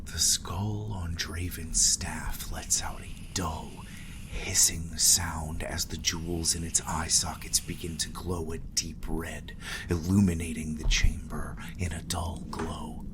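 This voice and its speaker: A man's voice: low voice, level tone, slow pace, background noise. A man narrating scripted gothic horror with grave, ornate storytelling. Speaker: narrator, game master.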